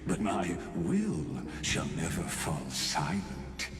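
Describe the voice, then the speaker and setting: A man's voice: low voice, huge pitch swings, slow, heavily acted. A man playing a coldly patient imprisoned genius villain in a video game, speaking in theatrical, pompous monologues. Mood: grandiose disdain. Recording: echoing voice with game music behind.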